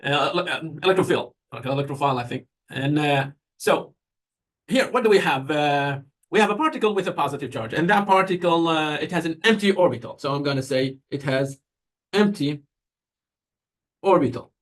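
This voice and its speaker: A man's voice: fairly high pitch, fairly quick. A man with a Persian accent, a native Persian speaker, giving an informal university lecture in a conversational way, putting questions to students and answering them.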